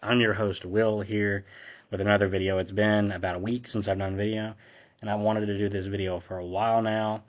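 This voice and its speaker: A man's voice: lowish voice, level tone, a little fast, muffled recording. A young man with a slight cold hosting his own channel, giving an offhand, conversational sports recap as he reads down a list. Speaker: host. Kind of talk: casual sports recap commentary.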